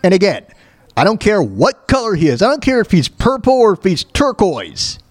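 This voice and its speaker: A man with a high, very animated voice hosting a show. He comments on news in a blunt, emphatic way, often stumbling, repeating himself and rambling as he goes. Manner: halting, repetitive, rambling delivery. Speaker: show host. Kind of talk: opinionated commentary on news clips.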